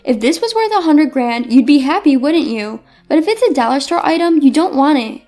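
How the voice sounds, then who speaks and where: A woman's voice: sits high, animated, brisk, darkish tone, soft background music. A woman voicing an assertive female executive heir with a firm, direct manner in a narrated story. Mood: indignant.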